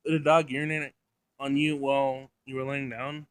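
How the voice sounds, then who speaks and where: A man's voice: medium pitch, flat delivery, moderate pace, a bit bright, clear, resonant tone, no room sound, close to an everyday voice. A man voicing a literal-minded female android with a formal, pedantic, emotionless way of talking in a visual novel. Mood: clinical, blunt. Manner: pedantic, clinical, formal wording.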